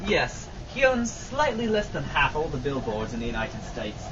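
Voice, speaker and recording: A man playing a formal, pompous airship gentleman in an old-time radio comedy. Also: mid-range voice, sing-song, moderate pace, natural voice. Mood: genteel, haughty. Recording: very noisy, dull, distant live recording.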